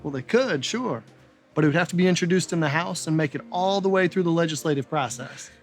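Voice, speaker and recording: A man's voice: a little high, brisk, faint music behind, close to an everyday voice. Brief: man as a breezy, old-fashioned charmer. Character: charming, smooth-talking old-time gentleman. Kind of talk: comedic noir skit acting.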